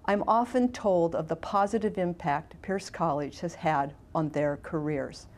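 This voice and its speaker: A middle-aged woman giving a formal, measured, prepared testimonial as a college administrator. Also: fairly low pitch, darkish tone. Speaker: college administrator, former criminal justice instructor.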